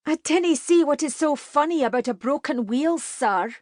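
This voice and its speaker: A woman's voice: high voice, lively intonation, normal speed, no echo, studio quality, pitched above the performer's own voice. A woman voicing a fiery lass. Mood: irritated. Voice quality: indignant, sharp retorts. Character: fiery young Scottish woman traveller, proud.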